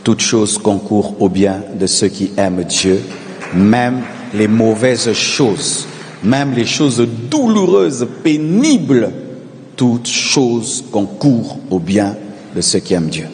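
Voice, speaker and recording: A male pastor preaching a sermon, calling on the congregation to repeat after him and swelling into shouted refrains. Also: lowish voice, lively intonation, slow, big-room sound, slight background noise. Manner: preaching with call-and-response, rising to shouted repetitions.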